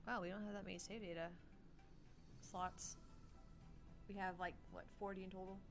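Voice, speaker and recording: A woman's voice: medium pitch, little pitch movement, moderate pace, over music. A young woman co-hosting a gaming livestream in casual, playful chat, swearing now and then. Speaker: livestream co-host.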